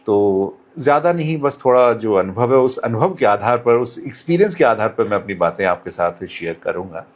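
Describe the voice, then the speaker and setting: A man who works as a radio presenter, answering interview questions in measured, courteous, formal phrasing with English words mixed in. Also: lowish voice, animated. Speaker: radio presenter, podcast guest.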